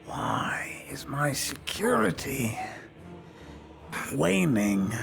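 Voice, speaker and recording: A man voicing a paranoid, ruthless nobleman who interrogates a servant with slow, whispered threats. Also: a little high, gravelly, full character voice. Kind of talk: voice roleplay in a virtual world.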